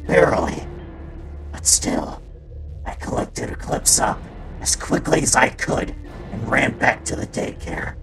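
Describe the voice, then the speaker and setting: A guilt-ridden male robot attendant in a comic dub. He confesses slowly and haltingly in a gravelly, whisper-prone voice that swings widely, with music playing behind. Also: mid-range voice, heavily acted. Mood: haunted regret. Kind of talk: fan comic dub, dramatic storytelling.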